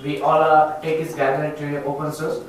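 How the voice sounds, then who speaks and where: A man's voice: on the high side, flat delivery, normal speed, a little hiss or hum. A man with an Indian accent giving an informal self-introduction to a group, speaking in formal, earnest phrases. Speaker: student attendee speaking at a community meetup. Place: echoey indoor event room. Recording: echoey room, distant microphone.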